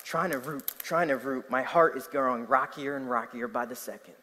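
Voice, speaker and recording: A man's voice: mid-range voice, moderate pace. A young man from New Jersey with a New Jersey accent, preaching a sermon, telling stories and asking his listeners questions. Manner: animated storytelling, asking the audience questions. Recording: long hall reverb.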